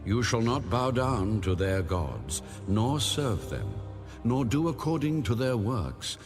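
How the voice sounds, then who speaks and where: A man narrating scripture in a solemn, measured, authoritative way, like an audio Bible. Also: mid-range voice, sing-song. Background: background music.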